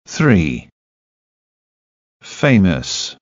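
Guy reading listening test lines super slowly, lively intonation, dull dry audio. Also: low voice, no room sound. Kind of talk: scripted language-test reading.

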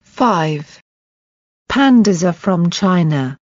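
A woman reading short scripted lines for a language listening test, deliberate and clearly enunciated. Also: fairly low pitch, animated, a little mellow, no echo, highs cut off.

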